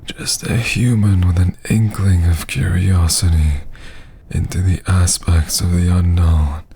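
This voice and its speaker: A man voicing a domineering, seductive vampire lord in an intimate ASMR roleplay, with a husky, often half-whispered voice and a teasing, condescending manner. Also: sits low, warm tone, a little hiss or hum. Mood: mocking, intrigued. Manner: slow, teasing, condescending drawl.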